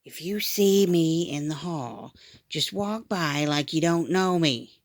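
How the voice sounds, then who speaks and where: A woman's voice: deep voice, slow pace, no echo, studio quality. A woman voicing a self-conscious, scheming third-grade big brother in a storybook read-aloud, confiding and warning. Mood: secretive.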